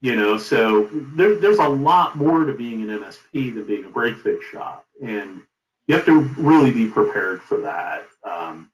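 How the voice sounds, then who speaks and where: A middle-aged man being interviewed as a company founder, giving advice in an emphatic, deliberate conversational way. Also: lowish voice, animated. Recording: roomy, echoing sound.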